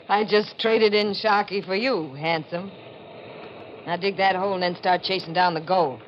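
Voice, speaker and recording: A woman's voice: mid-range voice, unhurried, pauses often, lightly acted. An actress playing a ruthless young beachcomber woman, curt and cool, in a 1940s radio mystery. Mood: cold, commanding. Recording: dull, faintly noisy 1940s radio recording.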